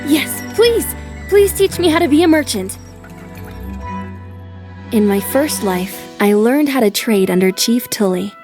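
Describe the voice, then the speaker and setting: A woman's voice: mid-range voice, moderate pace, few pauses, a little mellow, close to an everyday voice. A woman playing an earnest, polite young noblewoman heroine in an anime dub, both speaking and narrating her thoughts. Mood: reflective. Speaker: voice actor in an anime fan dub. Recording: faint music behind. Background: faint music.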